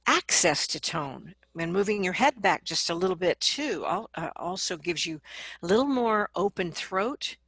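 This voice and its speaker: A woman speaking as a vocal coach in an interview, explaining advice conversationally and acting out examples in an animated manner. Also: lowish voice, normal speed, breathy edge, no room sound.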